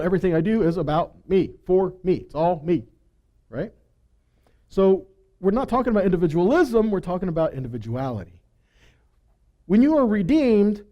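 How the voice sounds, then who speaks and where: A man's voice: sits high, mellow, dull audio. A man teaching from the Bible in a conversational sermon style, reading scripture and breaking in with rhetorical questions and emphatic repetition.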